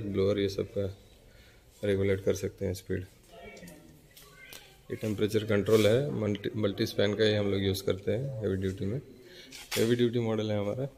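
Lowish man touring a factory line. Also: darkish tone. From India. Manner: explanatory, points things out step by step to the viewer. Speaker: machine maker's representative. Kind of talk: walk-through demonstration of a bottling plant. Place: large indoor factory floor. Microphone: handheld phone camera while walking.

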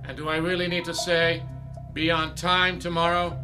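A man's voice: fairly high pitch, little pitch movement, moderate pace, music in the background, natural voice. A man acting in a radio drama as a stern, exasperated theatre director, commanding and sarcastic. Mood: weary, sarcastic. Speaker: actor.

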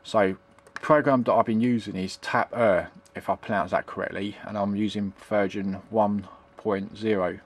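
Man, middle-aged, lowish pitch, hesitant, rambling, casual homemade demo, talking behind a handheld camera. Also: steady tone, normal speed, husky. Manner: rambling, hesitant, repeats himself with trailing tags like "I am", "it is". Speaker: hobbyist demonstrating retro computing. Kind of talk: casual unscripted tutorial. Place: indoors at a home computer desk. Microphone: handheld camera's built-in microphone.

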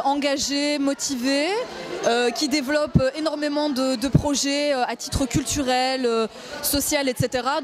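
High-voiced earnest young woman. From Belgium. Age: 20s. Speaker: university student. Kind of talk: on-camera interview at an event. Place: indoor event hall. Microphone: handheld mic.

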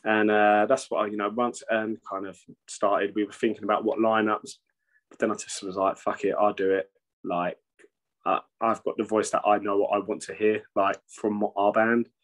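Guy in his 30s, low voice, loose and sweary, talking through a webcam at home. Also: flat delivery, normal speed. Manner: rambling, swears freely, many restarts. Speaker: metal band singer and bassist, guest. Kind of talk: casual interview over video call. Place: home room with shelves. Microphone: video call webcam.

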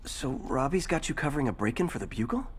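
An actor playing a young American superhero in a video game, quick-witted and earnest, often muttering wryly. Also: male voice, mid-range voice, animated, husky. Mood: awkward, friendly. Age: young adult.

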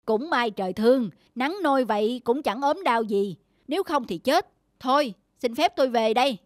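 Woman voicing an easygoing young farmer, raised pitch. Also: expressive, normal speed, no echo, clean studio sound, close to an everyday voice. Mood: plain, deferential. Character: easygoing, plain-spoken poor young male farmer. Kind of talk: animated folk tale acting. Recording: music under the voice.

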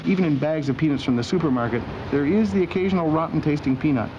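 A man narrating a scripted documentary as host, with a wry, deadpan sense of humor. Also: on the high side, quick pace. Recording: dull old video soundtrack with machinery noise.

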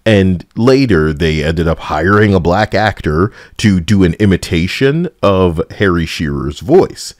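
A man's voice: sits low, darkish tone, no echo, clean studio sound. A man giving casual, blunt and sarcastic opinion commentary as a gaming video host, with an edgy streak. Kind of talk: casual opinion commentary over game footage.

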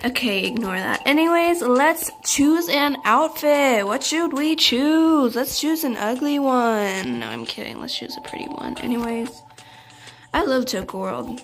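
A woman's voice: high-pitched, expressive, a little slow, slight background noise. A young girl chatting casually over her game, rambling and giggly as she talks to her viewers. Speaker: channel creator. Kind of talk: casual gameplay chat. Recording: game music underneath.

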